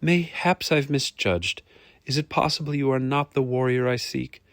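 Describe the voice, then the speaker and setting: A man's voice: mid-range voice, expressive, normal speed, no room sound, voice pushed up, lightly acted. A man voicing a young noblewoman in a fantasy audiobook, polite, demure and businesslike. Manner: polite, demure, matter-of-fact.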